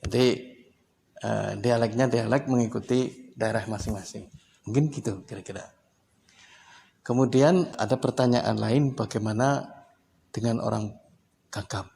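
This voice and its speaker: A man from Indonesia gives a relaxed spoken lesson, breaking his points into short, unhurried phrases. His voice is gravelly, with a middle pitch and a faint hiss behind it. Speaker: English teacher. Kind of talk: casual explanatory lesson.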